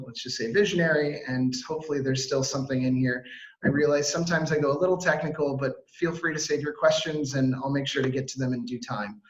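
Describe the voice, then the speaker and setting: American man, 30s, keen webinar presenter, higher voice, echoey call audio. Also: a bit bright. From Washington, D.C. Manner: upbeat, fast, filler-heavy, self-interrupting. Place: home or office on a video call. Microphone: video call mic.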